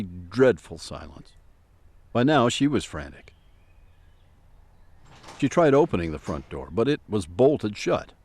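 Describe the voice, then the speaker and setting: Dramatic male narrator, animated delivery. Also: mid-range voice, no room sound. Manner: suspenseful storytelling with dramatic emphasis. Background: music soundtrack.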